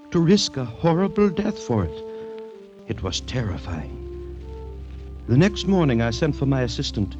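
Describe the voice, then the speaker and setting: A man acting a sober, alarmed witness to a deadly menace, narrating gravely and deliberately in 1940s American radio drama style. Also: medium pitch, lo-fi sound, close to an everyday voice. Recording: old radio recording with music.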